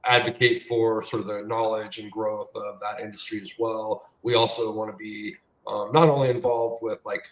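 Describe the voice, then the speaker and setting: A man's voice: lowish voice, little pitch movement, moderate pace. A man hosting a podcast interview in a laid-back, agreeable way with plenty of fillers. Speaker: podcast host. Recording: echoey room, distant from mic.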